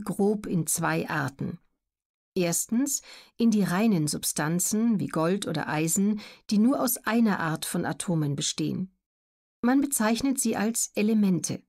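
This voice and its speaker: A woman reads scripted educational narration for an audiobook in a measured, factual manner. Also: medium pitch, a little mellow.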